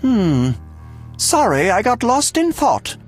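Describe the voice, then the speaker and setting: Very high-pitched, eccentric alchemist. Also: male voice, huge pitch swings, slow pace, husky, full character voice. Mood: self-important. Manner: pompous, theatrical. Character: eccentric, pompous, absent-minded male alchemist. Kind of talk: video game voice acting. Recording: music behind.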